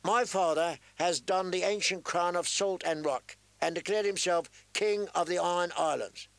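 A man's voice: sits high, normal speed, fairly bright tone, no echo, voice pushed up. A man voicing an arrogant young prince who mocks and threatens his captives. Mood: commanding. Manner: sneering, commanding.

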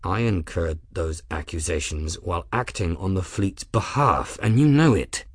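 A man doing a quiet, steely captain. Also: deep voice, a little slow, slightly rough, no echo, studio quality, pitched below the performer's own voice, heavily acted. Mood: cold calm. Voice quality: quiet, calm, level. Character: calm steely male airship captain, quiet and menacing.

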